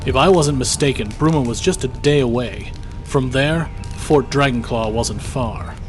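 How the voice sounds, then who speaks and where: A man playing a weary legion soldier, narrating battle in the first person with solemn, measured delivery in a fantasy audio drama. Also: medium pitch, lightly acted. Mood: grave. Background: loud dramatic music.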